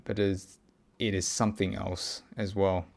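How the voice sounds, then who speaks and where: A man recording a reflective personal audio log, speaking haltingly as he searches for words and often pauses mid-thought. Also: low voice, flat delivery, creaky, a little mellow. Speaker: podcast host.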